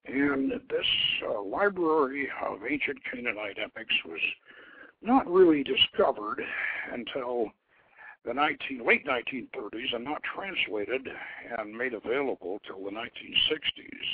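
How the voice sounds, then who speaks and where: Thin phone-line sound, male radio host, husky, swooping intonation, deliberate storytelling delivery. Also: mid-range voice, sing-song, no echo. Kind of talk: informal show introduction and dramatic story reading.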